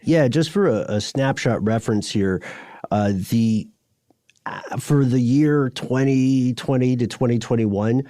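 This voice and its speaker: Male co-host, medium pitch, casual podcast banter, dry close recording. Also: a little slow, warm tone, dry recording.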